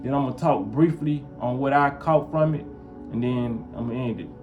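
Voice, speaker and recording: A man's voice: mid-range voice, fairly quick, phone-quality sound, soft background music. A man from Memphis talking casually to his viewers, rambling and often checking in with them. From Memphis, US South. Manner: rambling, keeps asking "you feel what I'm saying". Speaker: vlogger. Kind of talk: casual talk to camera.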